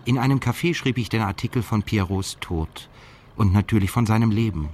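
An actor playing a melancholy journalist who narrates his own story in a measured, introspective way in a radio drama. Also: fairly low pitch, background noise, natural voice. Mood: somber, heavy. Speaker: narrator and protagonist. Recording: music under the voice. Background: music.

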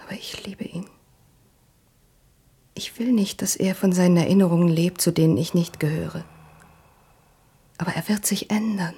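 An actress playing a self-assured young princess in a radio play, cool, teasing and frank about love. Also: female voice, normal speed, pauses often, warm tone. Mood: lovestruck, insistent. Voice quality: low, husky. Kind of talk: German radio play acting.

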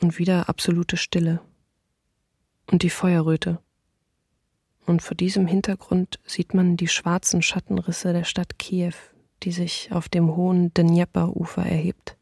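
A sombre female eyewitness in a radio play. Her voice barely rises or falls, with a creaky edge, as she gives measured, factual testimony in a dry recording. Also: lowish voice, monotone, darkish tone, no room sound, heavily acted. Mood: hushed, grave. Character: sombre female eyewitness of wartime occupation recalling events. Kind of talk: restrained documentary radio play monologue.

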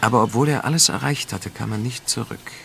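An actor playing a world-weary, sardonic male expedition veteran in a radio drama, speaking and narrating in a dry, deliberate manner. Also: mid-range voice, vocal fry, a bit bright. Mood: reflective. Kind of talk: radio drama dialogue and first-person noir narration.

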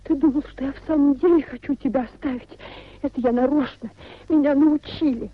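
An actress playing a naive, loving, childlike young wife in a 1950s radio play. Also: female voice, high-pitched, brisk, no echo, lightly acted. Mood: pleading, alarmed. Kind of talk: 1950s radio theatre acting. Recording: muffled old radio theatre recording.

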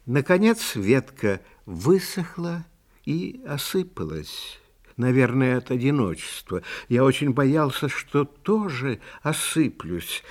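A man playing a vain, fragile, sentimental Christmas tree bauble who tells his story in a genteel, self-pitying, theatrical way in a children's fairy-tale audio play. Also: a little high. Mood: melancholy.

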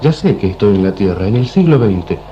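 An actor playing a weary, enigmatic time traveler, telling his story gravely and measuredly in a radio drama. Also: fairly low pitch, animated, a little fast, lo-fi sound, a little hiss or hum, close to an everyday voice.

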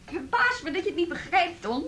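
An actress playing a proud, wounded young woman in a radio drama, speaking haltingly and with indignant emphasis. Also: extremely high pitch, very animated, pushed and bright, exaggerated voice. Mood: hurt, resolute. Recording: noisy radio play recording.

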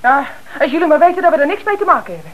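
Crook's girlfriend: piercingly high, streetwise, challenging, brash; radio play. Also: big pitch swings, racing, muffled recording. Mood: wary, challenging. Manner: shrill, streetwise, confrontational. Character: brash, suspicious female companion of a crook.

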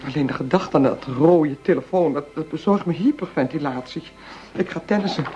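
An actress playing a police chief's middle-aged wife in a radio play, nervous and cynical, speaking in flustered, halting bursts. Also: female voice, low voice, warm tone, natural voice. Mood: sardonic, anxious. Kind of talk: Dutch radio play acting. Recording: dull lo-fi radio play recording.

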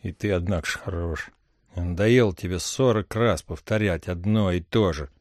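A man's voice: deep voice, moderate pace, darkish tone, no room sound, clean studio sound, lightly acted. An actor playing a sullen serf servant muttering his grievances about his master in an audio play. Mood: sullen, fed up. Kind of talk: audio play acting of a classic novel.

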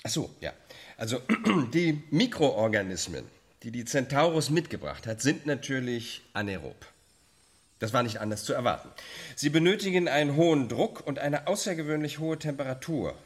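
An actor playing a flustered, absent-minded but earnest science professor in a radio drama. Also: male voice, mid-range voice, lightly acted. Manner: flustered, academic, slightly rambling.

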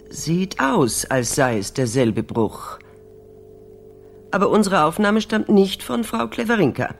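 An actress in a German radio crime drama playing a mature doctor who speaks in a formal, careful, clinical manner. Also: female voice, lowish voice, exaggerated voice. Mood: formal, guarded. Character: older female doctor, formal, careful, reserved.

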